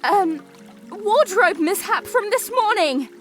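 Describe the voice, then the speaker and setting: A sweet, teasing young princess played by a woman, pitched high and speaking with chirpy, courtly politeness. She is a fantasy audio drama heroine, gracious and playful, set over prominent music. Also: animated, takes it slowly, a bit bright, heavily acted. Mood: calling out. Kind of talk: audio drama character acting.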